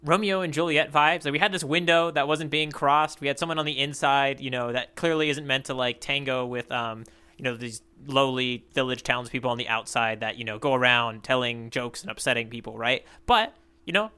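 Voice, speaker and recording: Bright, smooth-voiced man chatting. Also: mid-range voice, fairly quick. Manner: rambling, many fillers and restarts. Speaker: podcast co-host. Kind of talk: casual chat.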